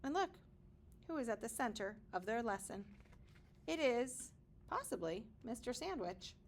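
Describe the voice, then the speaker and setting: A woman with a fairly high, very animated voice reads a picture book to young children. She takes her time, pausing for drama and slipping into wondering, conversational asides. There is some light noise behind her. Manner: expressive, dramatic pauses, gasps and wondering asides to young listeners. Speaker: kindergarten teacher reading aloud.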